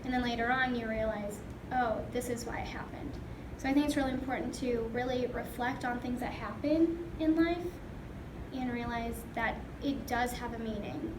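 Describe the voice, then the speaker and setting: A woman with a fairly high voice talks reflectively and informally. The recording has room echo and clear background noise. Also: steady tone, normal speed.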